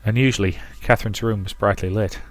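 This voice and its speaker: A man's voice: lowish voice, fairly even intonation, fast talker, dry recording. A man reading a visual novel aloud as dramatic narration for a let's play. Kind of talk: dramatic storybook-style narration with commentary.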